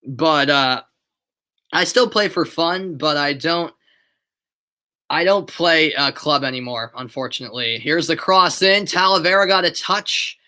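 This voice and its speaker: Young American male, amateur play-by-play caller, bright tense tone, excitable on chances, webcam in a bedroom. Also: fairly high pitch, measured pace. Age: young adult. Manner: excitable build-ups on shots, chatty asides to viewers. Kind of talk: live play-by-play commentary mixed with chat. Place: bedroom at home.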